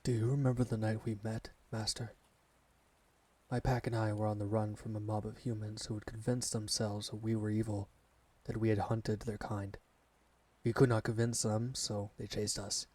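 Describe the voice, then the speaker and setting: A male actor voices an alpha werewolf bound in devotion to his master. The voice is low and keeps to a narrow, flat range. His speech is formal, measured and reverent, recorded dry and close for an ASMR fantasy roleplay. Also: husky, dry recording. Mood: solemn recounting.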